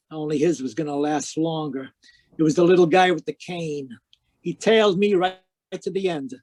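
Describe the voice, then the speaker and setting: A cynical, hard-boiled private detective, played by a man in a somewhat high voice. He delivers wisecracks deadpan, in the manner of a 1940s radio noir drama. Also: natural voice.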